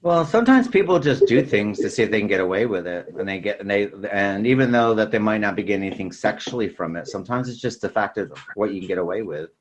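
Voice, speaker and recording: A man's voice: on the low side, animated, fast talker, few pauses, dull audio. A middle-aged man speculating about a film in a roundabout, casual way as a podcast co-host. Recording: faint background noise, clicks.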